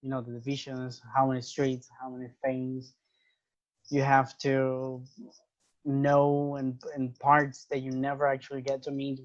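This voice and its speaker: A man's voice: mid-range voice, monotone, unhurried, dull audio. A young man from Venezuela with a Venezuelan Spanish accent, a guest in a casual interview, who speaks English as a second language and often restarts phrases while searching for words.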